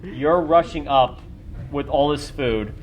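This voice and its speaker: A man's voice: a little high, slow pace, a little hiss or hum, low-quality recording. A man acting as game master, improvising narration for a tabletop role-playing game.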